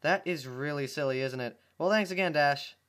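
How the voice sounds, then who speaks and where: A man's voice: a little high, normal speed, a bit bright, close to an everyday voice. A man voicing a curious, eager young female cartoon pony filly in an amateur fan fiction reading. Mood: cheerful.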